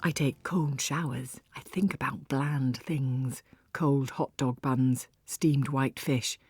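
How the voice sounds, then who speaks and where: A woman narrating a story in the first person, with an expressive, wry and theatrical delivery. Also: a bass voice, takes it slowly, breathy edge, dry recording, studio quality, soft background music. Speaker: audiobook narrator.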